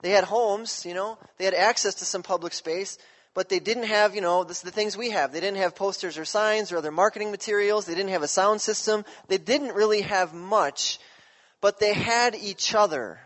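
A pastor preaching a sermon in a relaxed, conversational way, often checking in with his listeners. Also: high voice, normal speed, long reverb tail.

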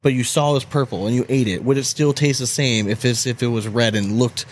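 A man co-hosting a podcast, chatting informally and excitably. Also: mid-range voice, brisk. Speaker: podcast co-host. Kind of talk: casual chat, posing questions.

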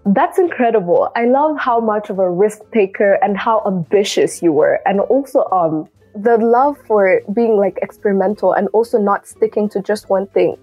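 A young woman hosts a podcast, speaking in a chatty, admiring way. Her voice is breathy and husky. Faint music plays behind her. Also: medium pitch, moderate pace, hardly any pauses, a little mellow, rough recording. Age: young adult. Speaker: podcast host. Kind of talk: podcast interview and outro.

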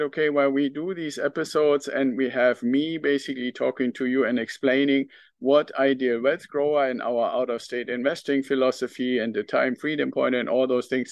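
A middle-aged man with a German accent talks alone into the mic as a podcast host. His voice sits at an ordinary pitch, earnest and reflective, with small hesitations as he thinks aloud. The recording is close and dry, with no room sound. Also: no room sound. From northern Germany. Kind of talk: solo podcast monologue.

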